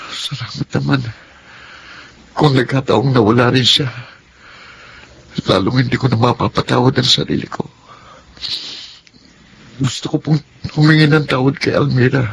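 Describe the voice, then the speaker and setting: A man's voice: medium pitch, steady tone, moderate pace, gravelly, long pauses, slight background noise, lightly acted. An actor with a Filipino accent playing a remorseful young man seeking forgiveness in a radio drama. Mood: pleading.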